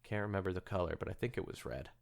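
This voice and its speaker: A man's voice: deep voice, lively intonation, racing, creaky. A man hosting a casual comedy podcast, joking and rambling with some swearing. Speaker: podcast host.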